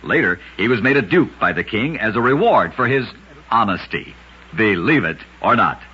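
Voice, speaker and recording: Male radio narrator, very husky, lively pitch, dramatic storyteller on a lo-fi vintage recording. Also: moderate pace. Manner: dramatic, emphatic storytelling delivery. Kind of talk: scripted narration of short oddity stories for radio.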